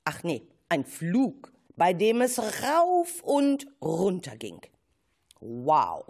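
A woman with a lowish, husky voice narrates a children's story. Her delivery is slow and expressive, slipping into playful asides.

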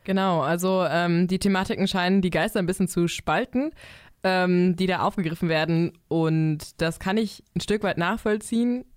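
A woman's voice: on the low side, moderate pace, no echo. Woman, early twenties, playful podcast moderator, dry booth sound. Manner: playful, guides the talk. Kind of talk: casual moderating.

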